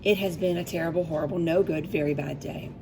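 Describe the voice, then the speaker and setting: Woman reading to kids over clear noise. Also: deep voice, fast talker. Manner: expressive, addressing children directly with questions. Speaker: read-aloud storyteller for young children. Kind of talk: reading a picture book aloud with asides to children.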